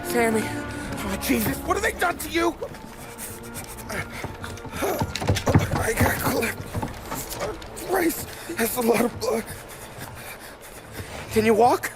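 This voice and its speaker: Slangy American gangster over loud music. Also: male voice, very high-pitched, lively intonation, slow pace, long pauses, full character voice. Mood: shocked, urgent. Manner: slangy, needling wise-guy talk. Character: wisecracking, hot-tempered male 1930s American gangster. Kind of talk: cinematic crime game dialogue.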